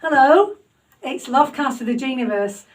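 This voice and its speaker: A woman's voice: high-pitched, animated, moderate pace. Woman, podcast host, chatty, self-deprecating, filler-heavy, loft, heard from a distance. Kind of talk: casual interview chat. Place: home loft.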